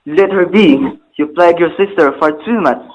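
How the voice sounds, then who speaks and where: A man's voice: fairly high pitch, moderate pace, darkish tone, natural voice. A man playing a stern, anxious bell-maker father in a formal, declamatory stage drama. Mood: scolding. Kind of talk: amateur stage-play dialogue, formal archaic English. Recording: muffled, phone-like, echoey room.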